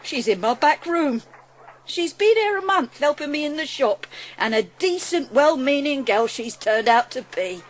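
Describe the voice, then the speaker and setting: A woman's voice: high voice, animated, a little slow. A woman playing a good-natured, humble baker in a dramatised storybook, chatty and deferential. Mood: kindly, deferential. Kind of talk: dramatised audiobook acting.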